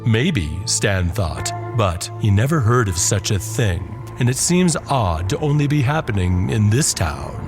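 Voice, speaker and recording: A man with a low voice narrates horror over a music bed. His delivery is very slow and deliberate, leaning on ominous emphasis like a dramatic storyteller. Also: sing-song. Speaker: narrator and host of a horror podcast. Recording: music under the voice.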